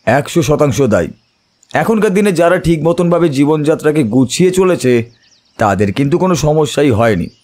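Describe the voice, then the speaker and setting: A male actor as a philosophical private detective in an audio play. His voice sits a little high for a man, and he talks with measured confidence, as if instructing. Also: lively intonation, a little mellow, close to an everyday voice. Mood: assured, philosophical. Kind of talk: Bengali audio detective drama acting.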